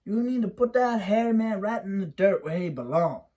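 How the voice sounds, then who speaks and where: A man's voice: high voice, expressive, normal speed, dark tone, voice pushed up. A stern old country mother, as a storyteller puts her on, raising her voice in a firm, scolding drawl. She lays down the law to her boy.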